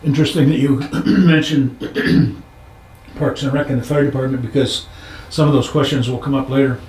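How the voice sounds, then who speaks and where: A man's voice: mid-range voice, steady tone, moderate pace, a little mellow. A man hosting an informal interview, with a folksy, easygoing way of phrasing questions. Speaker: interviewer, show host. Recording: echoey room.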